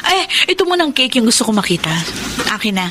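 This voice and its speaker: A woman's voice: sits high, very animated, quick pace, background noise. A woman playing a jealous, hot-tempered wife in a Filipino radio drama, scolding and sarcastic. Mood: mock sweet.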